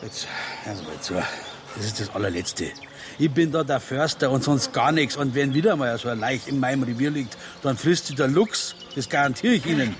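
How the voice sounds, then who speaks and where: A man playing a gruff Bavarian forester in a naturalistic radio play. He grumbles bluntly in a medium-pitched voice, easily indignant, while insects buzz clearly around him. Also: lively intonation, a little fast, noisy background, close to an everyday voice. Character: grumpy, indignant male forester with a dog. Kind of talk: naturalistic radio drama acting.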